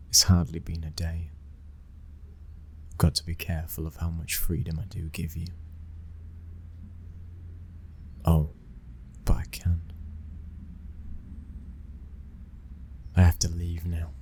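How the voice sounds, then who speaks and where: A British man playing a commanding young mafia heir in an intimate ASMR roleplay, speaking in a measured, breathy voice that often drops toward a whisper. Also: sits low, a little mellow, a little hiss or hum. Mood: teasing, controlled. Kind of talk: ASMR boyfriend roleplay, scripted acting.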